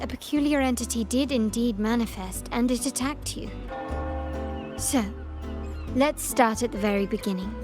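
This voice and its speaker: A woman plays an elegant young noblewoman from a fantasy game. She is composed and analytical, choosing formal words with care in a fairly high voice, with music clearly playing behind her. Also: lightly acted. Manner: measured, precise, formal wording. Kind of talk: video game voice acting.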